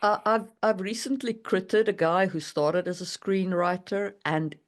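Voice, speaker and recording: A woman's voice: mid-range voice, fairly even intonation, unhurried. A woman co-hosting a casual podcast chat about writing, emphatic and given to repeating words for stress. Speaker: podcast co-host and writing critic.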